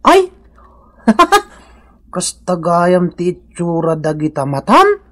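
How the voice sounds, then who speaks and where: A woman's voice: fairly low pitch, wildly swooping pitch, drawn-out words, heavily acted. A woman with an Ilocano accent playing a nosy, teasing companion in a comic radio drama, full of exclamations.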